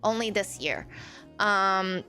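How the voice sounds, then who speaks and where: A woman in her forties who grew up in Malaysia, a streamer answering chat in a halting way with many fillers. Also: mid-range voice, sharp tone, faint music behind, rough recording. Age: 40s. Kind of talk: live stream chat reading viewer comments.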